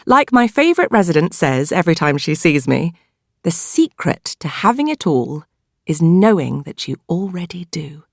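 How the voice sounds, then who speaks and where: A woman with a British accent narrates a novel in the first person, in a measured, expressive storytelling manner. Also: fairly low pitch, gravelly, dry recording, studio quality. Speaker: audiobook narrator.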